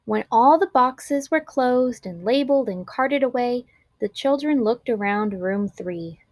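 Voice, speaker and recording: A woman reads a children's story aloud as narrator. Her voice is on the higher side and expressive, with clearly enunciated words. The recording is very dry, without audible room echo. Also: measured pace.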